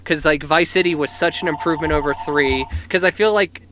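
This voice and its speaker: A man's voice: on the high side, brisk, noisy background. A man of twenty-nine chatting casually and agreeably as a podcast co-host. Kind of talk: casual chat.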